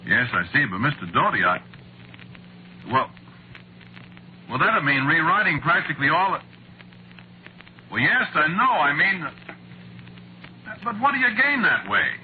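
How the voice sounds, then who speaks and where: An American actor playing a wry, put-upon screenwriter in a 1940s radio drama, talking in a halting, conversational, sardonic way. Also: male voice, on the high side. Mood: exasperated, flustered. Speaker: narrator and lead actor. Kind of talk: 1940s radio acting, conversational first-person narration. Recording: muffled, noisy old radio recording.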